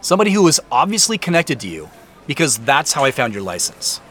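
An actor playing an earnest, suspicious man in an audio drama, pressing for answers and quick to defend himself. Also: mid-range voice, very animated, a bit bright, slight background noise. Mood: defensive, earnest. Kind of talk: naturalistic audio drama dialogue.

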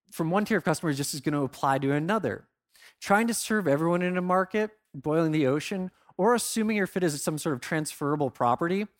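A man with a fairly high-set voice speaks as a conference presenter. His delivery is conversational and informal, with emphasis placed on the key phrases. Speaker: conference speaker, product marketer.

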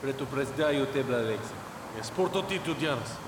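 An actor playing a menacing villain in an audio drama, forceful and threatening. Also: male voice, mid-range voice, lively intonation, brisk, background noise, lightly acted. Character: menacing Russian male villain making angry threats. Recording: echoey reverberant space, loud vehicle ambience.

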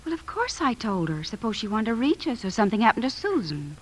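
An actress playing a devoted young wife and mother, gentle and affectionate, in 1950s radio drama style. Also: on the high side, sing-song, fast, husky, poor audio. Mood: reassuring. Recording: hissy vintage radio broadcast.

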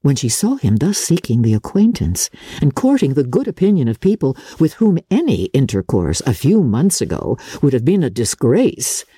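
A woman narrating classic fiction in a theatrical, measured and deliberate literary style, with a husky voice. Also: extremely low pitch, big pitch swings, dark tone, no room sound. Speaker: audiobook narrator.

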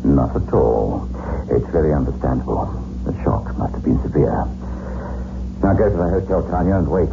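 Very deep gravelly spymaster. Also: male voice, animated, full character voice. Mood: weary, measured. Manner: deliberate, authoritative, dismissive. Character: grave, commanding male spymaster of a Cold War cell. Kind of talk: 1960s radio suspense acting. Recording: muffled vintage radio recording, loud music.

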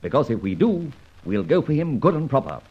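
A man acting a suave, self-assured gentleman adventurer in an old-time radio drama, breezy and urbane in his old-fashioned phrasing. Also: medium pitch, big pitch swings, a little fast, husky. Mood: brisk, determined. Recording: dull, lo-fi old radio recording.

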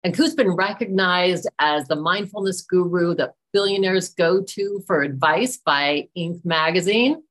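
Host: woman, fairly low voice, effusive and excited when introducing a guest. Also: measured pace, hardly any pauses, poor audio. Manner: enthusiastic, effusive. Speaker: podcast host. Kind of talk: interview hosting.

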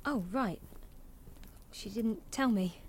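An actress playing an anxious, stubborn young theme-park trainee with a British accent, in a halting, self-conscious, naturalistic audio drama style. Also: female voice, on the high side. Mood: deflated, awkward. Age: young adult.

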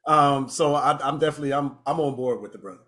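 A man's voice: medium pitch, fast talker, fairly bright tone. A man talking casually as a guest in a panel discussion, giving his opinion.

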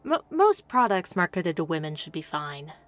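A woman's voice: fairly low pitch, sing-song, moderate pace, fairly bright tone, soft background music. Dry, businesslike woman executive. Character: businesslike female studio executive, crisp and unyielding. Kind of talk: scripted audio drama acting.

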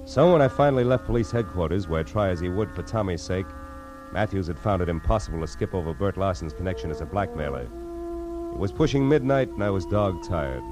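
A man's voice: lively intonation, a little fast, over music. A man acting a hard-boiled, world-weary private detective in a 1940s radio drama, with clipped, commanding delivery. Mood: weary, subdued. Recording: noisy vintage radio recording, vehicle rumble.

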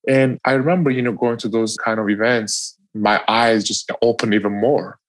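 A young man with a Turkish accent talking casually and earnestly, leaning on "you know" between thoughts. His voice sits on the low side, and the recording is dry and close. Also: steady tone, normal speed, no room sound. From Turkey. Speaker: guest, professional athlete.

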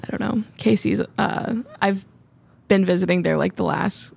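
A woman's voice: mid-range voice, moderate pace, creaky, darkish tone, husky. A woman hosting a podcast and chatting casually, rambling and peppering her talk with "like". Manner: rambling, heavy use of "like". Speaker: podcast co-host and stand-up comedian.

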